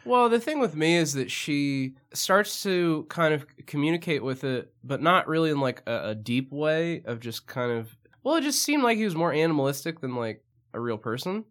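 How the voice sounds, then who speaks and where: A man with a slightly high voice co-hosts a casual film-review podcast. He's hoarse and losing his voice, and he rambles hesitantly, laughing often. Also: sing-song, moderate pace, few pauses, a bit bright. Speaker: podcast co-host. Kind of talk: casual movie review chat.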